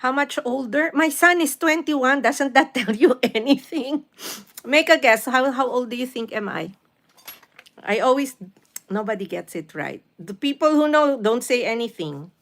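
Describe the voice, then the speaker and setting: A woman's voice: high-pitched, animated, normal speed, a bit bright. A woman of fifty-nine with a Filipino accent hosts a casual livestream, reading out and answering viewer comments in a motherly, encouraging way and sometimes switching between English and Tagalog. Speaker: livestream host.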